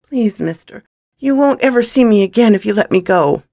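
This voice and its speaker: A reader doing a high, small, scared boy. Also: lively intonation, normal speed, vocal fry, dark tone, no room sound, studio quality. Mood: frightened pleading. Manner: stammering. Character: frightened small boy, pleading and stammering.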